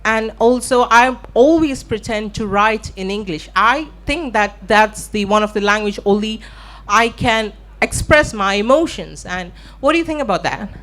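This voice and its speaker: Indian-accented woman, earnest and halting. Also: medium pitch, expressive, a bit bright. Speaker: audience member asking a question. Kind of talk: long spoken question at a live event. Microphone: shared handheld event microphone.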